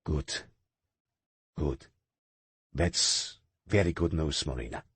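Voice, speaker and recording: A man's voice: rumbling low, slow pace, fairly bright tone, husky, dry recording, pitched below the performer's own voice, full character voice. A man narrating an audiobook, voicing an older uncle who coaxes and threatens. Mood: coaxing. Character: older Russian uncle, smooth and menacing.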